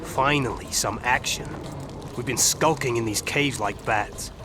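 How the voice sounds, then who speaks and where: A man's voice: mid-range voice, a little slow, background noise, close to an everyday voice. A man acting a bold, impatient assassin in a video game, talking in a blunt, sardonic way. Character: gruff male assassin, bold, impatient for action. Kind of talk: dramatic video game dialogue.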